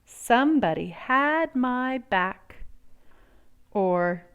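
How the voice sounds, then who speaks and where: A woman reading a children's picture book aloud in an expressive, theatrical way, drawing out words and pausing for emphasis. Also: a little high, clear, resonant tone, dull audio.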